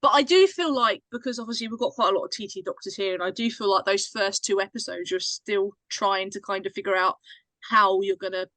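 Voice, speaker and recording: A woman's voice: medium pitch, fairly quick, pushed and bright, no room sound, poor audio. A woman hosting a casual group chat, introducing and steering the conversation. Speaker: host.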